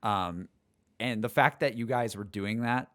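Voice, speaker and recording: A man's voice: on the low side, unhurried, fairly bright tone. A man, co-hosting a podcast in easygoing, agreeable chat. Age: 20s. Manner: easygoing, agreeable, says "like" often. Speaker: podcast co-host.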